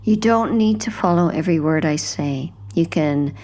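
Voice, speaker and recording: A woman guiding a sleep hypnosis in a gentle, hushed, soothing scripted narration, unhurried, with phrases that trail off softly. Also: low-pitched.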